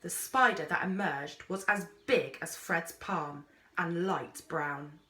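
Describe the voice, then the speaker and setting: A woman reading a children's story aloud with expressive narration. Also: on the low side, takes it slowly. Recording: roomy, echoey sound.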